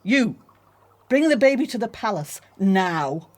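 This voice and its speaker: Imperious princess, animated delivery. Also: female voice, mid-range voice, a little slow. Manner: commanding, haughty. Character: imperious, willful Egyptian princess, softening to babies. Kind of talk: radio drama acting.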